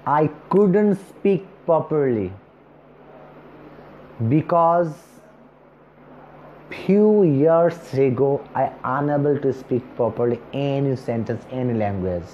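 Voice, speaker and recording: A man in his thirties, a native Bengali speaker, speaking slowly and earnestly to camera as a speech coach, with long pauses between words. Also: medium pitch. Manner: earnest and encouraging, gesturing with both hands. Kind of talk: self-help talk to camera. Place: small indoor room, seated on a chair. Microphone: lapel mic. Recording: slightly dull recording with faint hiss.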